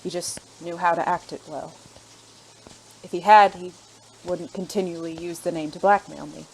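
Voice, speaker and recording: Against noticeable background noise, a woman plays a refined former spy in an audio drama. The voice is fairly low, the phrasing formal and halting, with a secretive, courteous air. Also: fairly even intonation, gravelly, darkish tone, low-quality recording. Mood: composed, regretful.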